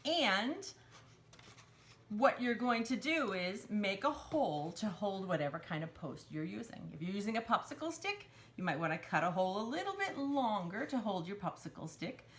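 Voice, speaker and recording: A woman leads a craft demonstration for young children, talking through each step in simple words. Her pitch moves up and down in a lively way around an average height. There is some background noise with small clicks. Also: moderate pace. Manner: simple instructional phrasing, refers to herself in third person. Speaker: children's storytime craft host.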